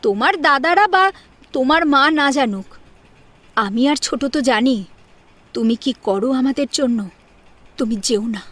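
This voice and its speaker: A woman's voice: high-pitched, lively intonation, unhurried, long pauses, a bit bright, full character voice. A woman playing an affectionate, outspoken married sister-in-law in an audio story, assertive and pleading by turns. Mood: admiring, pleading. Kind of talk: Bengali audio story acting.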